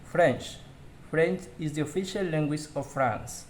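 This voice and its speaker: Slow, careful man practicing English drills. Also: fairly high pitch, a little hiss or hum. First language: Spanish. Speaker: English learner. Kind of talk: reading English drill answers aloud.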